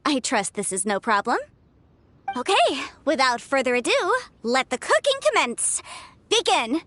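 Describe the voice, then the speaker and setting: A woman playing a brisk, authoritative contest announcer in a video game, formal in her delivery. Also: high voice, very animated, fairly bright tone, full character voice. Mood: businesslike, commanding.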